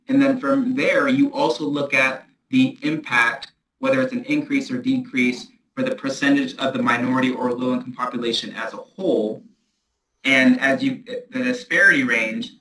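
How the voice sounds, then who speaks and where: A man gives a formal staff presentation to a board over a video call. His bright voice rings in an echoey room with faint noise behind it, and he speaks in a measured, procedural way. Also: medium pitch. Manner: measured, procedural, restarts often. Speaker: transit agency staff presenter.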